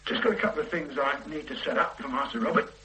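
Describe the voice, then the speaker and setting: A man's voice: medium pitch, expressive, fast, bright, forceful tone. An actor playing a stubborn, thoughtful male police detective in a radio drama, arguing his doubts about a case. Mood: musing. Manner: probing, doubtful, argumentative.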